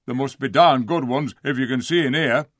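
A man's voice: mid-range voice, normal speed, no echo, studio quality, pitched above the performer's own voice, lightly acted. A man voicing a surly, defiant storybook dwarf. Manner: gruff colloquial grumbling, blustering. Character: surly, defiant, suspicious dwarf, scornful and rude. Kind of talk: dramatised storybook reading.

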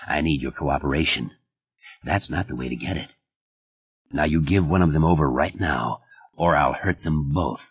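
A man reads as a quietly menacing killer, flat and cold in a low, husky register, sinking even lower and softer than the narration. Each line comes out teasing and deadly calm, on a slightly dull recording. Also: normal speed. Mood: cold threat.

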